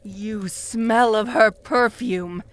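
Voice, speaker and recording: Jealous female vampire voiced by a woman, taunting, purring, very slow, middle pitch, whispering. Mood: menacing, hungry. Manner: taunting, with soft mocking laughs. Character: possessive jealous female vampire, cruel, mocking. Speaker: voice actor in audio roleplay. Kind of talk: audio roleplay addressed to the listener.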